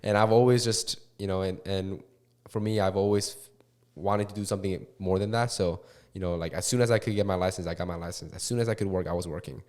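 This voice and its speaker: A man in his twenties, a real estate investor, talking as a guest in a casual interview. He talks quickly and haltingly, with many restarts and fillers. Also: deep voice, fairly even intonation, a little mellow. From Korea and California. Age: 20s.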